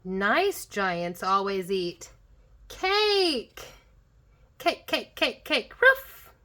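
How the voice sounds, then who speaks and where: A woman voicing eager, adoring small children from a storybook, pleading in an excited way. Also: a little high, takes it slowly.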